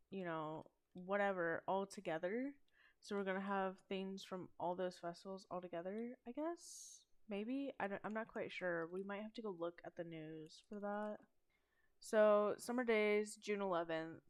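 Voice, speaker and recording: A young woman chatting about game updates on her channel, speaking in a self-described monotone with frequent ums and trailing asides. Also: medium pitch, clear, resonant tone. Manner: monotone, hesitant with many ums. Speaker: gaming channel host. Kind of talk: casual game news chat.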